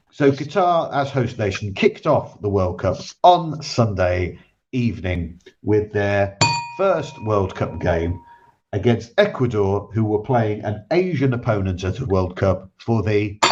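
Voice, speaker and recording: A man hosting a casual football podcast, husky from a cold, teasing his co-host and reeling off stats dryly. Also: fairly low pitch, a little mellow. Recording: dull lo-fi remote audio with faint hiss.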